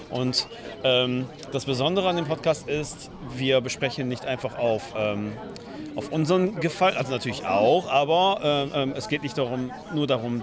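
Mid-pitched man chatting over crowd noise. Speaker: interviewed author and podcaster. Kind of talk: casual interview chat. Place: busy audio drama convention. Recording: busy convention crowd behind voice.

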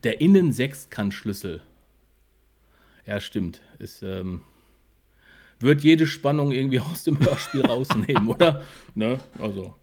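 Guy cohosting a podcast, normal pitch, chatty, kinda searching for words. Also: expressive, a little slow, pauses often, a little mellow, slightly rough. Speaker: podcast co-host. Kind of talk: casual podcast chat.

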